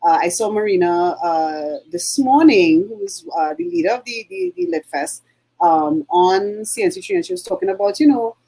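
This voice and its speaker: A middle-aged Trinidadian woman co-hosting a relaxed talk show. She rambles warmly through her points with frequent ums and uhs, at an ordinary middle pitch. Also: moderate pace, poor audio. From Trinidad and Tobago. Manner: chatty, many fillers and throat clearing. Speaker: co-host. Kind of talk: casual chat.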